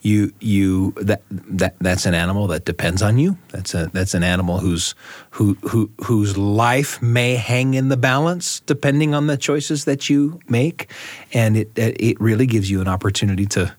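A man hosting a radio show with expressive, deliberate, storyteller-like phrasing. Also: fairly low pitch, dry recording. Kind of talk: radio hosting, scripted intros and round-table chat.

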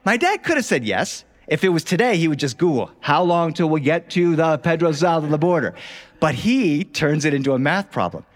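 A man's voice: fairly high pitch, animated, brisk. A middle-aged man telling childhood stories live, conversational and engaging, often putting questions to his listeners. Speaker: storyteller. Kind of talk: live storytelling of childhood memories to an audience. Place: recording studio in front of live listeners.

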